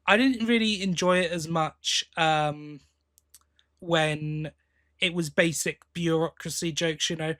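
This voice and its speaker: Higher-pitched British man chatting casually. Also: steady tone, slow, sharp tone. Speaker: podcast co-host. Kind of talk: casual chat, fan review.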